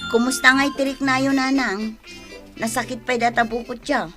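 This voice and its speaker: A woman playing a lively younger woman in a radio drama, expressive, with an Ilocano accent. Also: a little high, normal speed, faint music behind. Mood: sympathetic. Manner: expressive, exclaiming.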